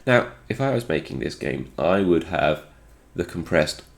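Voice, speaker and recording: Man, low-pitched, congested from a cold, musing technical walkthrough, unscripted. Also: very animated, unhurried, creaky. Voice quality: slightly congested. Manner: thinking aloud, musing. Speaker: host of a retro game programming video.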